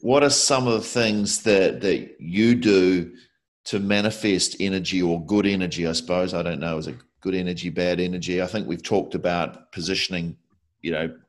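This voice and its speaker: A man's voice: deep voice, fairly even intonation, normal speed. A man in his fifties hosting a video call interview, asking long rambling questions full of fillers. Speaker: interview host. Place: room by a window. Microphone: laptop webcam on video call.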